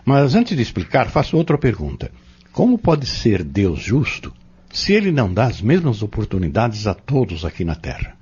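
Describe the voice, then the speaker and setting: Husky, deep-voiced Brazilian teacher played by a man. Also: huge pitch swings, a little mellow, heavily acted. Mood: earnest, instructive. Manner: didactic, rhetorical questioning, measured phrasing. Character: earnest male Spiritist teacher explaining doctrine to youths. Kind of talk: dramatized audio novel dialogue, didactic. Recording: dull lo-fi audio.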